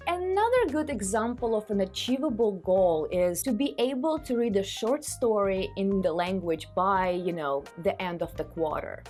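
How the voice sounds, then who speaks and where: A woman speaking English with a Ukrainian accent walks her viewers through language-learning tips in a friendly, teacherly way. Her voice sits at an ordinary middle pitch. Soft music plays faintly behind her. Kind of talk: scripted tips to camera.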